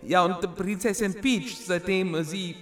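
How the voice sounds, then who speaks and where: A man's voice: sits high, unhurried. A man voicing a bumbling middle-aged Italian plumber in a comedy sketch, stammering in broken grammar with a mock Italian accent. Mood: flustered.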